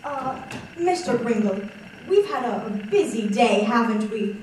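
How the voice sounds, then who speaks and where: A young woman acting as a businesslike 1940s department store manager in a radio-style play. Her voice is high and her manner formal and firm, heard from far off in a very echoey hall. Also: animated, a little slow, noisy background. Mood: polite but firm. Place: stage in a large echoing hall.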